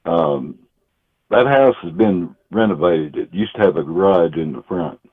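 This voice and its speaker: A man calling in a tip to a live show, speaking deliberately with pauses. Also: low-pitched, slightly rough. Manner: deliberate, pausing between words. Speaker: caller. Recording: tinny phone line.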